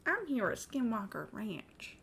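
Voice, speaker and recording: A woman's voice: fairly high pitch, very animated, unhurried, creaky, a bit bright, breathy edge. A woman live-streaming a game with casual, joking, sweary and exclamatory commentary. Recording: game music behind the voice.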